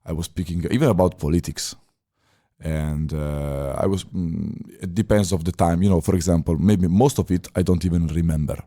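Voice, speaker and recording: A man's voice: low voice, fairly even intonation, mellow. A man in his thirties with an Italian accent, a guest on a podcast, speaking English as a second language with many fillers and restarts. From Italy. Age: 30s. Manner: halting non-native phrasing with many fillers and restarts. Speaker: podcast guest, former chef. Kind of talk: casual interview talk.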